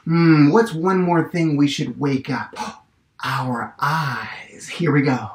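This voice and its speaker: A man in his thirties hosting storytime and reading picture books in an encouraging, expressive way to young children, recorded on a camera mic in a small room. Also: on the high side, takes it slowly. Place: small decorated indoor room. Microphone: camera a short distance away. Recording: roomy echo from a camera mic.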